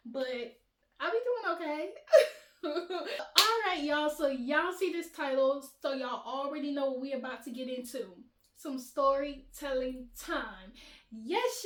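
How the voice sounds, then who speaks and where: High-voiced chatty woman. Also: roomy sound. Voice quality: high-pitched voice. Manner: animated, chatty, laughs often. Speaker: vlogger, channel host. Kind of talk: casual storytime chat.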